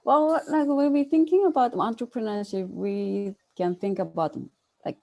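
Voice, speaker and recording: A woman's voice: on the high side, fairly even intonation, moderate pace, creaky, mellow. A woman answering as a panelist in an online discussion, searching for words with many fillers.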